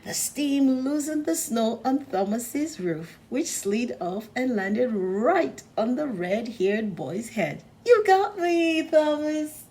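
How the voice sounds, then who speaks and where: Slow, mellow woman reading a children's story. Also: on the high side, expressive. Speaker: storybook reader. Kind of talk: children's read-aloud narration.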